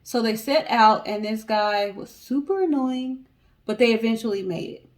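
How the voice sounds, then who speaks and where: A woman's voice: on the high side, lively intonation, moderate pace. A woman co-hosting a story show, reading a tale aloud in a casual way. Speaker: co-host of a story guessing show.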